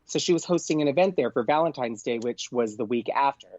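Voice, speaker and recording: A man chats through an anecdote as a podcast guest in an excitable, gushing way. He peppers it with "like" and voices the dialogue as he goes. The sound is dry and somewhat dull, with a lo-fi edge. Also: mid-range voice, fast talker, dry recording. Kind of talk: casual anecdote, chatty storytelling.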